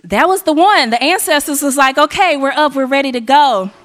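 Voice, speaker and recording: A hoarse woman from Arkansas, hosting a live event on a stage mic and rallying the audience with call and response. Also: high voice, brisk. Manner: energetic, rallying the crowd. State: hoarse, losing her voice. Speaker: event emcee. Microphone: handheld stage mic. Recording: live hall with faint audience.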